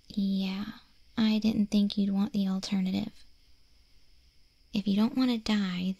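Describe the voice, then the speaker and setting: A woman playing a teasing, caring wolf girl in an ASMR roleplay, speaking in a soft, breathy whisper with a gently deadpan manner. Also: mid-range voice, no echo. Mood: calm, matter-of-fact.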